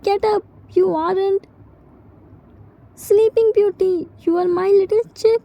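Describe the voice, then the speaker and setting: A woman plays a grieving young man in a fan-fiction drama. The voice is extremely high and very muted in tone. Lines come slowly, in halting, scolding and pleading phrases. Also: fairly even intonation, dark tone, heavily acted. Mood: pleading, anguished.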